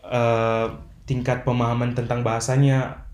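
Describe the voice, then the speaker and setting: A young man, a podcast guest, explaining in measured, formal phrasing with frequent fillers. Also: mid-range voice, little pitch movement, darkish tone, a little hiss or hum. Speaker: podcast guest, language ambassador. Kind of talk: earnest motivational explaining. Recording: roomy echo.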